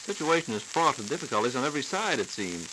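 A man's voice: mid-range voice, big pitch swings, quick pace. An American man hosting a scripted 1940s radio interview, prompting in a curious, folksy way, on a hissy old transcription disc. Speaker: radio host asking questions. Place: radio studio.

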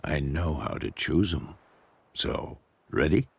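An actor playing an older monster hunter, a wry mentor with a gravelly voice and a measured, instructing manner in a video game. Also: male voice, a bass voice, very animated, no room sound, full character voice. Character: gruff old veteran monster hunter, male, wry mentor. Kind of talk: video game dialogue acting.

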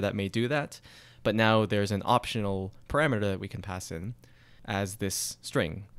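A man talks through his code in a programming screencast, steady and methodical as he types. His voice sits a little low and sounds smooth and clear. The recording is dry and close, with no room sound. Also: no echo. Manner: explains while typing, steady and methodical. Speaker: programming tutorial instructor. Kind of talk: screencast coding walkthrough.

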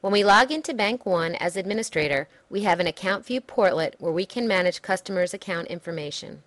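A woman reading a scripted software demo narration in a measured, instructional way. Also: mid-range voice, animated, no room sound.

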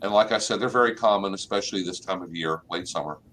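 A middle-aged man hosting a live online plant clinic from an office over a video call, explaining calmly and saying y'all. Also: low-pitched, fast. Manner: relaxed, explanatory, uses y'all. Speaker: extension agent and plant clinic host. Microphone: webcam on a video call.

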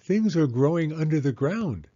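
A man voicing a patient, gentle mother mouse in a children's storybook, explaining things simply and reassuringly. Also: fairly high pitch, expressive, moderate pace, dull audio, voice pushed up. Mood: gently explaining.

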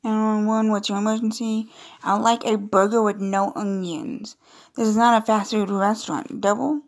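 A woman's voice: mid-range voice, level tone, moderate pace. A woman doing casual, playful gameplay commentary, reading on-screen dialogue aloud with chatty, amused asides. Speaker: gaming video creator.

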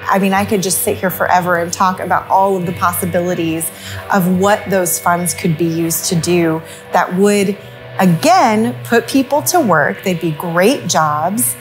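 A woman's voice: fairly low pitch, measured pace, soft background music, rough recording. A woman in her thirties speaking passionately and emphatically to camera in a plain studio. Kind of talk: to-camera interview.

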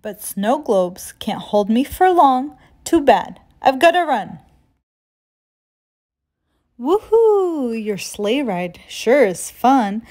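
A woman voicing a playful, confident magical snowman from a children's storybook, in an expressive singsong rhyme. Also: fairly high pitch, slow, voice pushed up. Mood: delighted, teasing.

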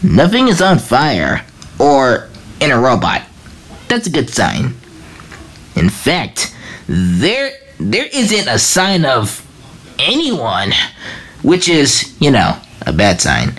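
Casting: a woman doing a cocky young male hedgehog, breezy and wisecracking, lively swooping pitch, comic dub. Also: takes it slowly, pauses often, exaggerated voice. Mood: wry.

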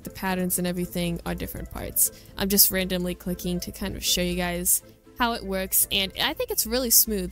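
A woman's voice: mid-range voice, a bit bright. A woman hosting a gaming video, giving casual, chatty commentary as she shows things off. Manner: chatty and informal. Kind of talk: casual game showcase commentary.

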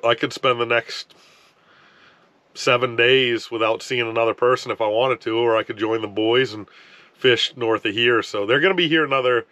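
A man's voice: mid-range voice, moderate pace, dry recording. A man in his thirties talking casually to camera from inside an ice fishing tent, rambling with restarts and filler words. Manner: relaxed, rambling, frequent restarts and "you know". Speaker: fishing vlogger. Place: ice fishing tent on a frozen lake, wind outside.

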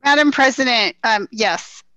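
A woman's voice: on the high side, measured pace, sharp tone, no room sound. A woman in her forties, a city official, formally explaining and answering questions over a headset on a video call. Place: indoor room at home or office.

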